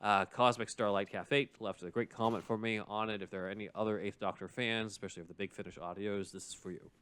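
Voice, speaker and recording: Male podcast co-host, lower-pitched voice, casual and jokey delivery of plugs and banter. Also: fairly even intonation, fast, fairly bright tone, smooth.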